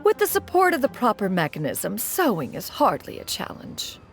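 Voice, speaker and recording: A woman's voice: mid-range voice, wildly swooping pitch, moderate pace. A woman playing a dignified, elegant female mentor in a video game, speaking in a stately, archaic, formal manner. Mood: gracious. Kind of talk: video game cutscene voice acting. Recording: game soundtrack music behind.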